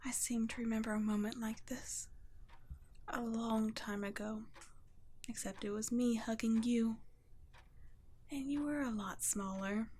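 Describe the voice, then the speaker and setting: A woman voicing a gentle, guilt-ridden vampire guardian in an ASMR roleplay, speaking in a soft, breathy half-whisper with a hushed, halting, tender delivery. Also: a little high, fairly even intonation, a little hiss or hum, close to an everyday voice. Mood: tender, reassuring. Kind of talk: ASMR roleplay, scripted intimate monologue.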